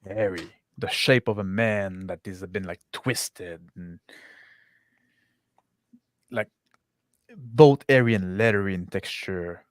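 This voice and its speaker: A man narrating an improvised tabletop game as game master, piecing his descriptions together haltingly. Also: low voice, no room sound.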